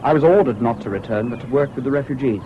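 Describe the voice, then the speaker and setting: A man's voice: medium pitch, fast talker, lightly acted. A man acting a bitter, intense young volunteer back from war in an old radio play. His speech is clipped and emphatic. The recording is dull with faint noise. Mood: defensive. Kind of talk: 1970s British radio drama acting.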